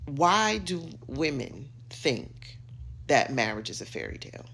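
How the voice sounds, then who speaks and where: A middle-aged American woman giving an opinionated rant to camera, emphatic, sassy and theatrical, with dramatic pauses and drawn-out words. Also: low voice, very animated, fairly bright tone, slight background noise. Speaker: social media commentator.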